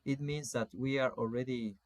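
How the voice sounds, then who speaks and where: Man, forties, Argentine Spanish accent, medium pitch, deliberate halting delivery, informal spiritual coaching lecture, dry recording. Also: fairly even intonation, dry recording. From Salta, Argentina. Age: 40s. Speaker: spiritual coach teaching an online course. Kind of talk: informal online lecture with slides.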